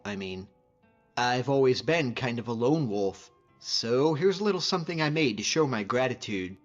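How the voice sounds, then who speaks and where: A man playing a friendly, modest lone-wolf hunter as a video game companion, speaking in an earnest, measured way. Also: medium pitch, a bit bright, lo-fi sound. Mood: grateful, modest.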